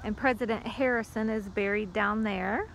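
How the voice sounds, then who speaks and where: A woman's voice: medium pitch, fairly even intonation, moderate pace, a little hiss or hum, rough recording. A middle-aged woman narrating a casual outdoor vlog like a tour guide. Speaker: family vlogger and tour guide. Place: outdoors and in a car at a cemetery.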